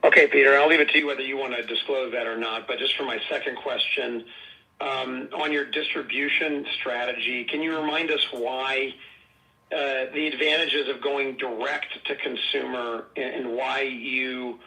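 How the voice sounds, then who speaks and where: A man's voice: medium pitch, fairly even intonation, moderate pace, sharp tone, rough recording. A man calling in as a financial analyst, putting questions courteously and conversationally on an earnings call.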